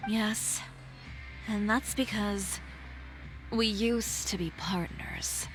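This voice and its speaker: A calm fashion designer. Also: female voice, mid-range voice. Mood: reflective. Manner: calm, measured, reflective. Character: composed female fashion designer, adult. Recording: game audio with faint music.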